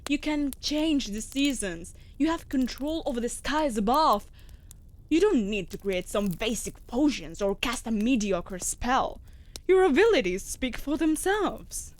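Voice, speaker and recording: A woman's voice: a little high, expressive, measured pace. A woman playing a mage's devoted wolf familiar in a fantasy audio drama, formal, firm and reassuring. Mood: firm reassurance. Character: female wolf familiar, protective, devoted and earnest. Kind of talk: scripted fantasy audio roleplay.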